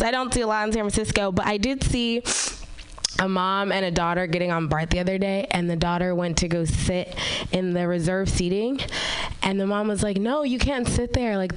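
A young woman with a hoarse, raspy voice doing a stand-up comedy set on a stage mic, laughing at her own jokes. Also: mid-range voice, low-quality recording. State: hoarse from illness.

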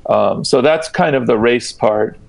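A man's voice: mid-range voice, measured pace, vocal fry, rough recording. A man speaking as a guest researcher in an interview, explaining concepts conversationally and often checking in with the listener.

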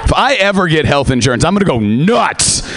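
A man's voice: on the high side, very animated, brisk. A man doing a rambling, self-deprecating stand-up comedy set, laughing at his own jokes. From Norwegian-American. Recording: noisy live radio broadcast.

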